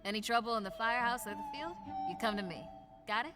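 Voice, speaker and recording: Brisk, lively operations manager played by a woman. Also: a little high, lightly acted. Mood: brisk, businesslike. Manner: businesslike, wry. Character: brisk, dry female operations manager of ghost hunters. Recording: long reverb, music behind.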